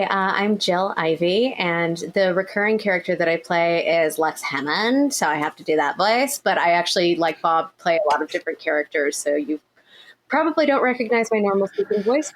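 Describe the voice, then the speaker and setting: Lower-voiced woman, casual, faint noise. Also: animated, fairly quick, rough recording. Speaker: voice actor, interview guest. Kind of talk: casual interview chat.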